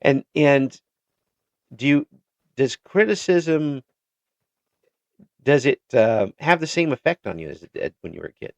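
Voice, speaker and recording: A man hosting an interview, asking questions in a halting way with many restarts and repeated words. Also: mid-range voice, lively intonation, vocal fry, a little mellow, no echo. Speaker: interview show host. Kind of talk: casual interview chat. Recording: dull lo-fi recording.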